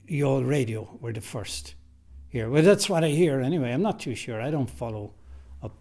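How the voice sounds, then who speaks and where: A man's voice: medium pitch, darkish tone. An Irish man who writes and directs radio drama, answering interview questions casually and emphatically, often repeating his phrases, in a recording studio.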